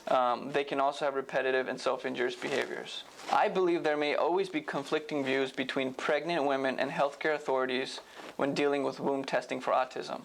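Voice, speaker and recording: Young man with gravelly fry, presenting. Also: medium pitch, level tone, a little fast, a little hiss or hum, rough recording. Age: young adult. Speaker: medical student presenter. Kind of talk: scripted educational presentation.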